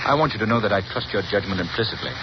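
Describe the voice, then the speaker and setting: An actor playing a composed gentleman sleuth in a 1930s radio drama, speaking in a calm, assured and precise way. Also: fairly low pitch, very fast, natural voice. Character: composed wealthy male amateur sleuth. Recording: muffled 1930s radio broadcast with hiss.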